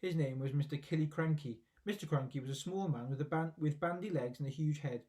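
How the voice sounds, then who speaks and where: Guy reading a kids book out loud, expressive storytelling, voice a bit on the high side. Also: fairly quick. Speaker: story reader. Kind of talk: expressive children's story narration.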